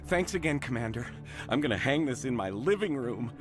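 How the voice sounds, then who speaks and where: An actor playing a gushing, starstruck fan in a video game, excitable and full of admiration. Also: male voice, mid-range voice, fairly quick, music in the background, close to an everyday voice. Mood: eager, delighted. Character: gushing, starstruck male fan of a war hero. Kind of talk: video game dialogue acting.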